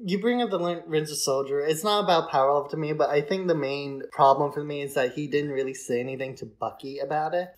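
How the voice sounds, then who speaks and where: Man, somewhat high pitch, podcast co-host, informal film chat, conversational, tentative phrasing. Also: quick pace, few pauses. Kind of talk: casual chat, film discussion.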